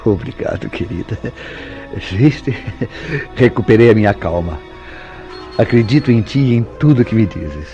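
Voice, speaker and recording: A brooding, jealous husband in a Brazilian radio soap. His fairly low voice is solemn and dramatic, intense yet devoted. The diction is formal, with a Brazilian accent. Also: lively intonation, measured pace, dark tone, lo-fi sound, soft background music. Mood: relieved, devoted. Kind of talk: old-fashioned Brazilian radio soap acting.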